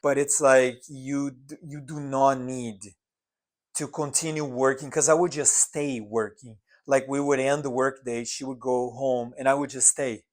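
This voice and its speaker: A man's voice: medium pitch, fairly even intonation, unhurried. A man co-hosting a casual podcast in an apartment, talking earnestly and reflectively, often restarting his phrases. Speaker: podcast co-host. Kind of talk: casual chat. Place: apartment living room.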